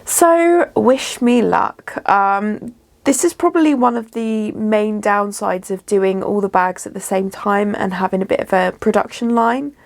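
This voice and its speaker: A chatty woman talking to camera from her home studio. Her voice has a slightly gravelly, creaky texture and sits at an ordinary middle pitch. She sounds a little bunged up and drifts along with easy ums and restarts. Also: unhurried. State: slightly bunged up from a runny nose. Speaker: small business vlogger. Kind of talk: casual vlog chat to camera.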